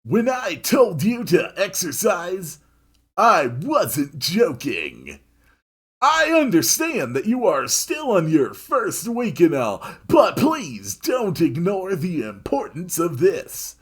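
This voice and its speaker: A man voicing a jovial but stern male school nurse in a visual novel, with emphatic, chiding, drawn-out delivery. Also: sits high, huge pitch swings, slightly rough, voice pushed up, exaggerated voice. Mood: stern, earnest.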